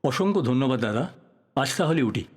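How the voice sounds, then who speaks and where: An actor playing a polite, inquisitive newspaper reporter in an audio drama, with a husky voice. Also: male voice, mid-range voice, lively intonation, normal speed. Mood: earnest, courteous. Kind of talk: Bengali audio drama acting.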